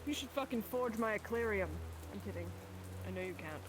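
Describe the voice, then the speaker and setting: A woman's voice: mid-range voice, animated, fairly quick, background noise. A woman bantering casually as a player in a live roleplay. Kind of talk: casual joking chat with swearing in live roleplay.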